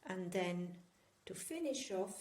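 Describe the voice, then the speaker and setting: Slow-talking Italian woman teaching crochet. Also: medium pitch, expressive, roomy sound. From Italy. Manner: playful, chatty, gently joking instructions. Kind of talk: step-by-step craft tutorial.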